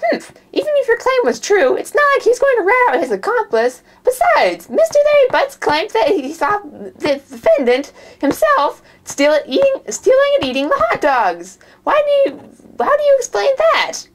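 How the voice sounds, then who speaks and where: A woman's voice: extremely high pitch, huge pitch swings, normal speed, hardly any pauses, slight background noise, voice pushed up, exaggerated voice. A woman voicing a haughty, easily outraged female prosecutor in an amateur puppet show, speaking with formal scorn. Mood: scornful.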